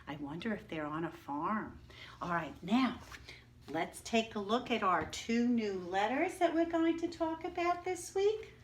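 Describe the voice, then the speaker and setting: A woman's voice: a little high, lively intonation, slight background noise. A woman teacher giving an early-reading lesson to young children, encouraging them and asking them to repeat words after her. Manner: encouraging, slow and drawn out, calls for repetition.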